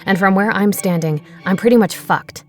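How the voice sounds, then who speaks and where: A woman's voice: medium pitch, normal speed, soft background music, natural voice. A woman reading voicing a bitter, newly cloned man who lashes out in anger. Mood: angry. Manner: angry, forceful.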